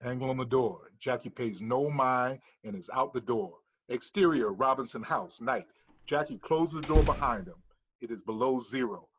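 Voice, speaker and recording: A man's voice: medium pitch, moderate pace, fairly bright tone, slightly rough, lo-fi sound. Male narrator reading screenplay stage directions.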